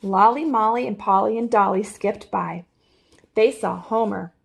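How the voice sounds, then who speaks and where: A woman reading a children's storybook aloud in an animated, theatrical way with expressive emphasis. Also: medium pitch, unhurried, vocal fry, slight background noise. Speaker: storybook reader.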